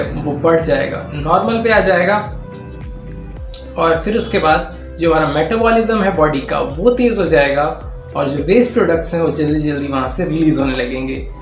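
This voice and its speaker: A man with an Indian accent gives an informal, step-by-step explanatory lecture, mixing English technical terms into his speech. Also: on the high side. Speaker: physiotherapy teacher and channel host. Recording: echoey room sound. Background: clear background music.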